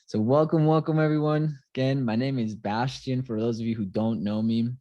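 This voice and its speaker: A man's voice: medium pitch, fairly even intonation, moderate pace, dark tone, no echo, lo-fi sound. A man from Santiago, Chile, hosting a live online group call in a casual motivational style, with hype-man exclamations and repeated phrases. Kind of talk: casual motivational livestream talk.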